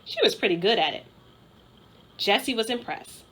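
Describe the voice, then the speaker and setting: A woman narrating a children's storybook aloud in an expressive style, like a school principal reading to pupils. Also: fairly high pitch, normal speed, breathy edge.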